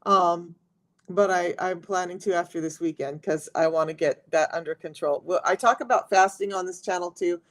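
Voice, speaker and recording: A middle-aged woman with a raspy voice, chatting casually to viewers on a live stream as a health coach. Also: on the low side, moderate pace. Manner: chatty, talks straight to viewers.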